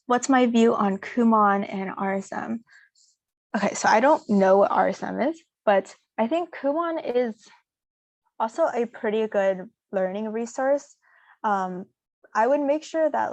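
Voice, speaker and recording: A young woman speaking informally as a student presenter, answering audience questions in a relaxed way with many "um"s and "like"s. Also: mid-range voice, no room sound. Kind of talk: casual Q&A seminar.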